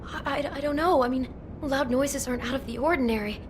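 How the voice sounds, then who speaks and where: An actress playing a shaken, compassionate young woman in a video game, speaking in an anxious, halting, emotional way. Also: high voice, a little hiss or hum, close to an everyday voice. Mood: distraught. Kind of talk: naturalistic video game voice acting.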